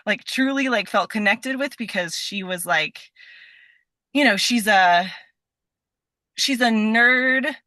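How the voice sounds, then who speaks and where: A woman's voice: fairly high pitch, takes it slowly, pauses often, pushed and bright, no echo. A woman being interviewed as a guest, chatting casually in a scattered, enthusiastic way and peppering her sentences with "like". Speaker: guest, voice actor.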